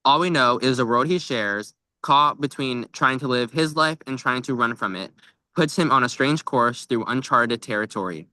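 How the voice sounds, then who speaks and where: A young man co-hosting a book podcast in a casual, chatty way. His voice is bright and forward, with a mid-range pitch and a close, dry sound. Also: moderate pace, no room sound, rough recording. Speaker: podcast co-host. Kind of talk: casual book club chat. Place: outdoors by a tree at a lake.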